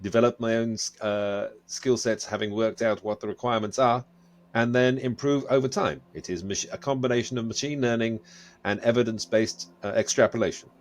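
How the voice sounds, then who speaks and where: A man's voice: mid-range voice, fairly even intonation, brisk, natural voice. A man playing a laconic, formal artificial intelligence in a sci-fi audio drama, matter-of-fact and businesslike.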